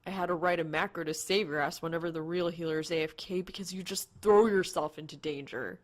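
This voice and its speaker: A woman's voice: on the low side, fairly even intonation, a little fast, close to an everyday voice. A woman voicing an awkward, sardonic young man gamer in an audiobook, dry and self-deprecating. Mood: dry, guarded. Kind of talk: audiobook character dialogue.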